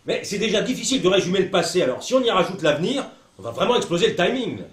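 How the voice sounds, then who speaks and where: A man's voice: high-pitched, very animated, very fast. A man playing a jovial, proud local who talks emphatically in a scripted promotional dialogue. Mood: hesitant, objecting. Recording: roomy echo, music behind voice. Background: music.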